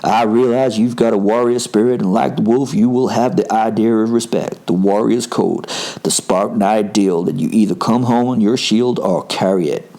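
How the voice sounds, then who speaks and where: A man's voice: fairly low pitch, normal speed, vocal fry, warm tone. A man voicing a huge, overconfident American super-soldier in a story, with an attempted American accent and a menacing, boastful, challenging manner. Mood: reverent, confident. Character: cocky, huge American male super-soldier itching to fight.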